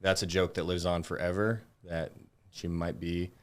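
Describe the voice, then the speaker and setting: A man's voice: low voice, little pitch movement, normal speed. An American man speaking as a podcast guest in casual, polite, informal interview chat. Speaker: podcast guest, Marine veteran.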